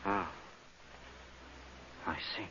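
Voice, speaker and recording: A man's voice: very slow, pushed and bright. An actor playing a mild, subdued man aboard a stranded spaceship in an old science fiction radio drama. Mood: uneasy. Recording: dull, noisy old radio recording.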